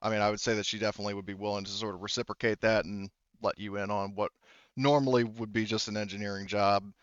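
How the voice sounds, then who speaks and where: A man's voice: fairly low pitch, little pitch movement, fast talker, clear, resonant tone, no room sound, muffled recording. A man casually improvising narration as a tabletop game master, conversational and thinking aloud as he goes.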